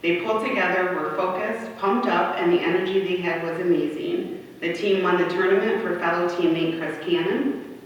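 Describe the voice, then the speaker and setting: A woman's voice: lowish voice, fairly even intonation, normal speed. Woman in a very echoey hall. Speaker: city councilwoman. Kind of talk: formal proclamation reading at a public meeting. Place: large indoor council chamber. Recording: echoey hall from far off, muffled, faint noise.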